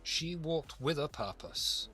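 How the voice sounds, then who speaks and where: A man voicing a calm, unhurried vampire bartender who speaks slowly and deliberately. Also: a little high, husky, soft background music, voice pushed up, heavily acted. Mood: dry, measured. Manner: slow, deliberate, drawn-out delivery. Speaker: game master voicing a bartender.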